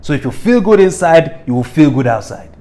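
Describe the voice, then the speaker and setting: A man's voice: on the high side, lively intonation, fairly quick, mellow. A Nigerian man hosting a current affairs show, delivering opinionated commentary in an emphatic, theatrical way with lots of rhetorical questions. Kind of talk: opinionated news commentary to viewers.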